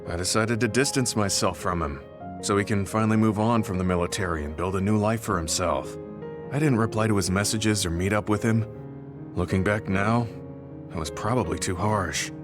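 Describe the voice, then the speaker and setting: A male actor as a serious, weary soldier weighed down by guilt. His voice sits low and his delivery is measured, in the manner of video game drama, with music clearly playing behind him. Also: lightly acted. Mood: regretful. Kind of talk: English video game voice acting.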